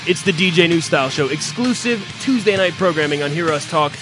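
A man's voice: a little high, expressive, a little fast, music in the background. A man hosting an internet radio show, teasing and profane in casual comic banter.

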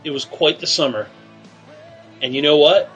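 Bright-voiced radio host teasing a cohost. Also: male voice, a little high, soft background music. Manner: slow, halting setup with many fillers. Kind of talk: deadpan teasing banter.

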